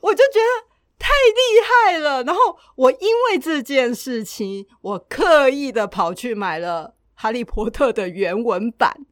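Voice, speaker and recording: A woman podcast host with a Taiwanese Mandarin accent talks casually and with enthusiasm. Her voice sits fairly high and swings with lively intonation. The sound is dry and close, with very little room. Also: unhurried, no room sound.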